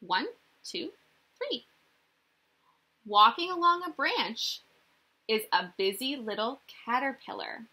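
Young woman reading to kids, animated and bright. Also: on the high side, takes it slowly, long pauses, slightly rough, rough recording. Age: young adult. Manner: expressive, sing-song, addressing children with questions. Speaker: teacher reading aloud to young children. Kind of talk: children's picture book read-aloud. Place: small indoor room.

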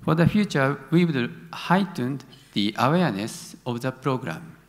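Halting, creaky Japanese-accented man in a hall. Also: medium pitch, animated. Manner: halting, searching for words. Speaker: conference presenter, university academic. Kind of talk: answering questions after a conference talk. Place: large conference hall stage. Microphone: gooseneck podium microphone. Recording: reverberant large auditorium.

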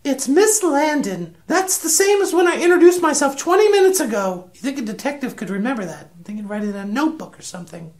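A prim and protective schoolteacher played by a woman in a comic radio drama. The voice is high, with a proper, fussy way of speaking. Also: expressive, fast, few pauses, a little mellow. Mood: indignant.

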